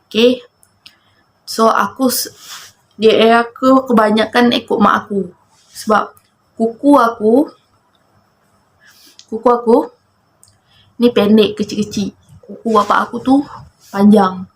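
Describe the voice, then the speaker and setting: A woman's voice: a little high, fairly even intonation, takes it slowly, pauses often. Young malaysian woman rambling to camera, chatty, keeps getting sidetracked. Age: 20s. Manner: chatty, rambling, distracted, laughing at her cat. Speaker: vlogger. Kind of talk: casual chat to camera.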